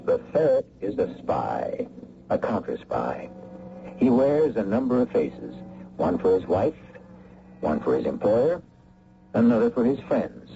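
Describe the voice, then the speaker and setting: A man narrating a radio mystery as host, deliberate, ominous and rhetorical in a theatrical old-time style. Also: lowish voice, vocal fry, background noise, full character voice. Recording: dull old radio recording with music.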